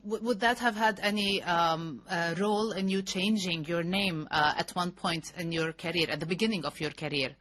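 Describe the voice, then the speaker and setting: A woman's voice: fairly low pitch, moderate pace, hardly any pauses, fairly bright tone. A woman from Lebanon hosting a podcast, asking personal questions with many hesitant fillers. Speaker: podcast host. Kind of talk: conversational interview questions.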